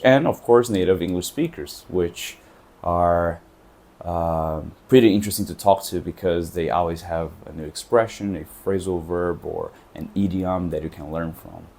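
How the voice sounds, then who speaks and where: A Brazilian man with a Portuguese accent, an English teacher who has traveled, speaking in a reflective vlog and pausing often to find his words. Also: deep voice, warm tone. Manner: thoughtful, searching for words.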